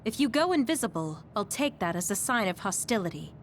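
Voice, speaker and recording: A woman's voice: medium pitch, sing-song, fairly quick, slight background noise. Frank, protective young woman. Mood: firm. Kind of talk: English anime game dub acting.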